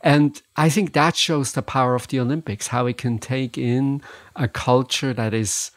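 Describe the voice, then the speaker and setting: A man's voice: mid-range voice, fairly even intonation, normal speed, no room sound. A man co-hosting a podcast, giving his views in casual conversational commentary. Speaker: podcast co-host.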